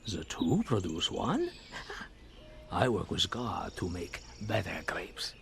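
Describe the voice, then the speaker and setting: A gravelly old French grape farmer. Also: male voice, low voice, sing-song, takes it slowly, exaggerated voice. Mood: gentle. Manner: warm coaxing, often hushed to a whisper. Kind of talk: 1950s radio drama acting. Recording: hissy old radio recording.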